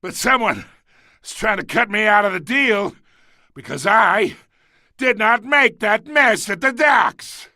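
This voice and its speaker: An actor playing an older, blustering crime boss in a video game, coarse and swaggering, with a gravelly voice. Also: male voice, sits high, expressive, a little slow, pushed and bright, no room sound, clean studio sound, heavily acted. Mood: grudging, strained.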